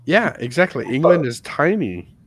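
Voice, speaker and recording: A man's voice: a little high, very animated, moderate pace, breathy edge. An American man co-hosting a casual podcast chat, telling stories with lots of laughs. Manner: chatty storyteller, laughs often. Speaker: podcast co-host.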